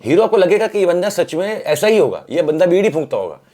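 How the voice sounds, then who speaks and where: A man's voice: high-pitched, sing-song, quick pace, mellow. A man speaking as an interview guest, telling stories conversationally and explaining with rhetorical questions and acted-out examples. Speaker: interview guest from the film industry. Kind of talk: animated storytelling in casual conversation.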